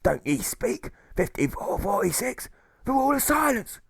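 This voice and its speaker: A man voicing a gruff, volatile old sailor turned jailer in an audiobook, with a stage pirate accent and blustering, exclamatory delivery. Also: very high-pitched, big pitch swings, moderate pace, no room sound, studio quality, pitched above the performer's own voice, full character voice.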